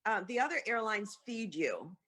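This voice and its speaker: A woman's voice: medium pitch, big pitch swings, normal speed, a bit bright. Woman answering dryly on a call. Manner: short, dry answers. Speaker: audience member. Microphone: video call.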